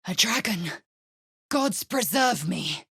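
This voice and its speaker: An actress playing a fierce, imperious alien warrior woman in a video game, husky, clipped, commanding and disdainful. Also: mid-range voice, animated, slow, sharp tone, dry recording, studio quality, exaggerated voice. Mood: urgent. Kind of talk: video game character voice lines.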